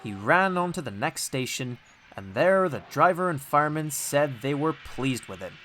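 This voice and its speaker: A man narrating a scripted children's story as an audiobook, expressive and deliberate in his storytelling. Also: fairly high pitch, a little hiss or hum.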